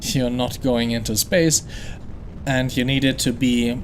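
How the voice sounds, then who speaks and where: A man's voice: medium pitch, flat delivery, measured pace, a little hiss or hum, poor audio. A man with a German accent, German his first language, hosting a game playthrough and explaining his steps in a chatty way that thinks aloud. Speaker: gaming channel host.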